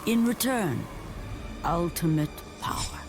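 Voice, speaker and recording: A solemn mythic storyteller for a video game. She narrates with measured gravity and drama, music playing clearly behind her. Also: fairly low pitch, expressive. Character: wise, solemn female storyteller of myth. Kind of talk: scripted epic narration.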